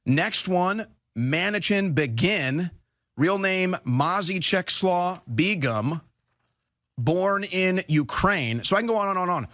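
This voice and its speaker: A man talking casually and rattling off a list, one item after another in quick succession. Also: high-pitched, expressive, a bit bright, no room sound, studio quality.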